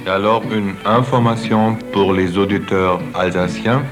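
A man making a slow, deliberate radio announcement for listeners. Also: lowish voice, steady tone. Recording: guitar music underneath.

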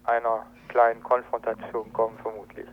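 A man's voice: mid-range voice, monotone. Male phone-in reporter, hesitant unscripted delivery, narrow tinny telephone sound. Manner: hesitant, halting. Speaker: activist giving a phone report. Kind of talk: spontaneous eyewitness report. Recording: tinny narrow-band phone line on radio.

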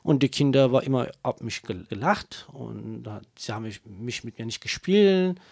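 Male kurdish-native singer, gravelly voice, dry close sound, halting german. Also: mid-range voice, expressive, darkish tone, no echo. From Kurdish region of Turkey. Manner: halting non-native German with many fillers. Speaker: singer, guest. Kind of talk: interview answers.

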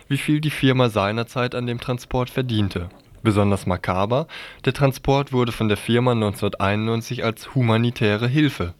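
A man's voice: fairly low pitch, dry recording. A man reading scripted radio news as a narrator of reports.

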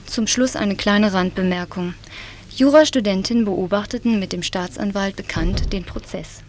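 A woman's voice: mid-range voice, moderate pace. Woman reading a critical report. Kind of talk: read commentary report. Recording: 1980s radio broadcast, faint noise.

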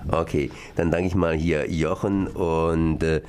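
A man hosting a radio interview, relaxed and joking. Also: a bass voice, a little slow, clear, resonant tone. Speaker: radio host.

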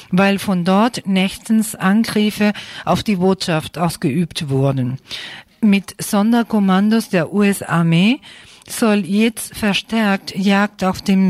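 Female news presenter, mid-pitched, unhurried and halting, reading in a dry-sounding space. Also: dry recording. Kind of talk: read news script.

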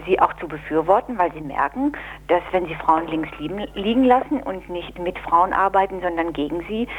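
A woman being interviewed as an official, answering in deliberate, formal and analytical phrases. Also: fairly low pitch, husky, low-quality recording. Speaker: interviewed women's equality officer. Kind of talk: spoken radio interview answers.

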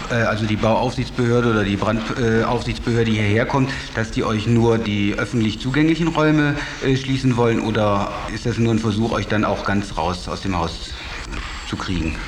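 A man's voice: fairly low pitch, moderate pace. A man interviewing as a radio reporter, unscripted and hesitant, searching for words. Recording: roomy, echoing, clearly noisy interview.

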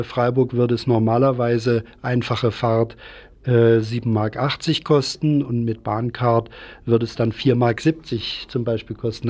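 Mellow-voiced man explaining haltingly. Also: mid-range voice. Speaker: transport activist, interview guest. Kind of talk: unscripted radio interview.

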